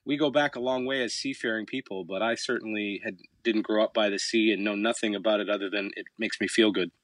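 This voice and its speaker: A middle-aged Canadian musician with a fairly low voice, answering interview questions in a reflective, storytelling way, with some hesitant pauses. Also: male voice, fairly even intonation, a bit bright, no echo. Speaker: musician guest.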